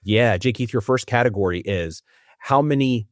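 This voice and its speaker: A man's voice: medium pitch, moderate pace, no echo, clean studio sound. A man hosting a trivia podcast in a chatty, amused manner, explaining rules at length. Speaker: quiz host. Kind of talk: casual game-show hosting.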